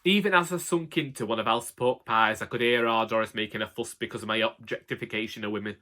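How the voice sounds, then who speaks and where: Man acting a grumbling old Northern English husband, bright voice. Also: fairly low pitch, fast. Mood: wry, droll. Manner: colloquial, wry, deadpan grumbling. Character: grumbling elderly northern English husband, wry and long-suffering. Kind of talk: first-person comic monologue, audiobook narration.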